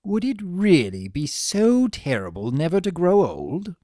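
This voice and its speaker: A woman's voice: lowish voice, wildly swooping pitch, unhurried, mellow, no echo, clean studio sound, pitched above the performer's own voice. A woman voicing a sly male chimera trickster from a storybook, theatrical, drawling, sardonic and mock-innocent. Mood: mock innocence.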